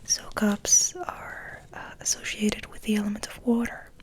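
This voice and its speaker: Female ASMR host: breathy whisper, gentle and musing, flat intonation, unhurried pacing. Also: medium pitch. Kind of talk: soft whispered ASMR ramble.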